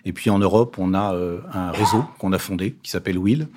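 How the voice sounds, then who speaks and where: A man's voice: sits low, brisk, background noise. A man in his sixties from Paris, a company president answering questions on a business radio show with confident talk broken by many fillers. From Paris, France. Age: 60s. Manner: confident, hesitant with frequent fillers.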